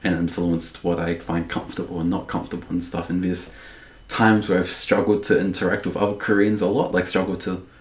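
Young kiwi guy with a deep voice, rambles a lot, says like constantly, echoey room. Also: level tone, a little fast. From New Zealand. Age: young adult. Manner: rambling, heavy use of "like", many restarts. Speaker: guest. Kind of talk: casual podcast chat.

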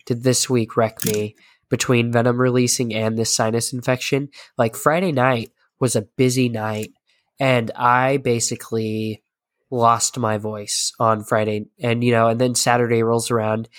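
A hoarse man hosts a late-night podcast, his stuffy voice worn from a sinus infection. He rambles in a chatty, self-deprecating way. The sound is dry and close, with no room around it. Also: mid-range voice, no room sound. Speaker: podcast host. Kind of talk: casual podcast chat.

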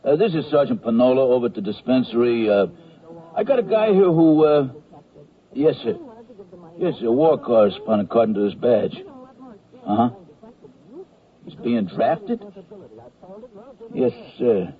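An actor playing a lazy, gruff, clueless Army infirmary sergeant, blunt and offhand, in a radio comedy. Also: male voice, medium pitch, expressive, measured pace, pauses often, natural voice. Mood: hesitant, official. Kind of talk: 1970s radio comedy acting. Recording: tinny phone-like old radio broadcast.

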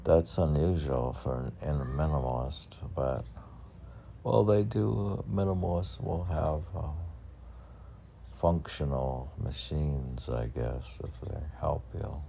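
A man casually reading poetry and chatting about it in a halting, offhand way. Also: a bass voice, steady tone, creaky, warm tone, slight background noise.

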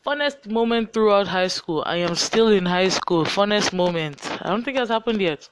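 A man's voice: high-pitched, expressive, moderate pace, noisy background. A teenage boy with a Nigerian accent, chatting casually through get-to-know-me questions on his own podcast, sounding tired. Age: teens. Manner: chatty, rambling, self-mocking asides. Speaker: podcast host.

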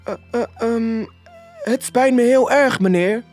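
Meek male clerk acted by a narrator: storybook, apologetic, pleading, stammering, raised anxious pitch. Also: slow pace, darkish tone, faint music behind, heavily acted. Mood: nervous, apologetic. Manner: stammering, deferential.

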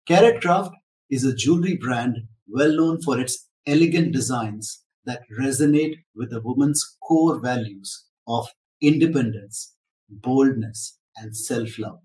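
A man's voice: medium pitch, measured pace, a little mellow, far from the mic. A man hosting a business podcast, who opens with a showman's welcome and then asks questions in a conversational way. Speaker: podcast host. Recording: roomy, from the mic, faint noise.

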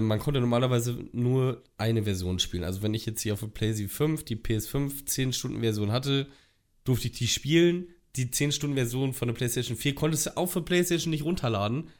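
A young man co-hosting a podcast, hoarse from a cold, rambling and complaining in casual chat with plenty of swearing. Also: medium pitch, a little mellow. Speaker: podcast co-host.

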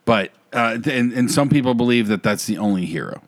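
Animated man bantering as podcast co-host. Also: medium pitch, a little fast, no echo. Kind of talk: casual bantering chat.

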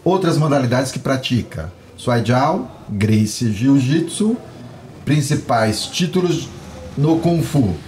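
A man's voice: mid-range voice, animated, slow, a little mellow, slight background noise. A man with a Brazilian Portuguese accent hosting a podcast, joking and laughing as he reads a guest's introduction. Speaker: podcast host. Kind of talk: playful podcast banter.

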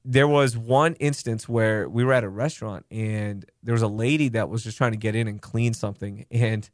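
A young man, born in Pakistan, hosting a casual podcast chat, telling candid, animated stories with some swearing. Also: on the low side, normal speed, no room sound, studio quality. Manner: candid, animated storytelling with profanity. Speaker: podcast host.

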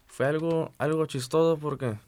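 A man's voice: fairly high pitch, fairly even intonation, moderate pace, a little mellow. A man in his early twenties from the United States, chatting casually as an interview guest. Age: early 20s. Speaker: accordion player, interview guest. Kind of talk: casual chat.

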